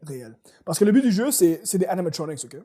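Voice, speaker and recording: A young man with a Quebec French accent, co-hosting a casual chat and excitedly explaining things, mixing English slang into his French. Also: sits high, quick pace. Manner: animated storyteller who mixes English slang into French. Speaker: podcast co-host.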